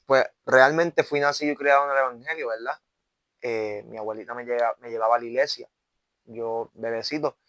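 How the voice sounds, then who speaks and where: A man's voice: mid-range voice, fairly even intonation, a little fast, a bit bright, dry recording. A man in his twenties with a Puerto Rican accent, an evangelist giving his testimony in an interview, earnest, tagging his sentences with "¿verdad?". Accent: Puerto Rican Spanish. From Puerto Rico. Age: 20s. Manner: earnest, with constant "¿verdad?" tags and restarts. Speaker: evangelist guest.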